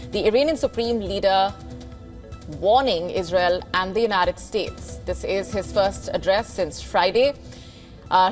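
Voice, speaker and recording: A woman anchoring live news, brisk, slipping into fillers when she ad-libs her questions. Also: medium pitch.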